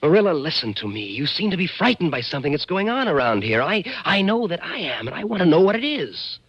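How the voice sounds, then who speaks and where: An actor playing a curious, insistent man telling an eerie mystery in old radio suspense style, measured and literate. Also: fairly high pitch, sing-song, slightly rough, no echo, highs cut off. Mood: urgent, insistent. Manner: measured, literate storytelling that turns urgent in questioning. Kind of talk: 1940s-50s radio suspense acting, first-person narration.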